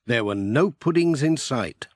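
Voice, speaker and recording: British guy reading a kids audiobook, animated, takes his time. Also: mid-range voice, unhurried, no echo, studio quality. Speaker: audiobook narrator. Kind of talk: scripted children's story narration.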